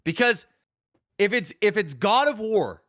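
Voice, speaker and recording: A man's voice: sits high, lively intonation, unhurried, a bit bright, no echo, clean studio sound. A man hosting a casual podcast, talking in an opinionated, emphatic way with plenty of slang and swearing. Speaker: podcast host.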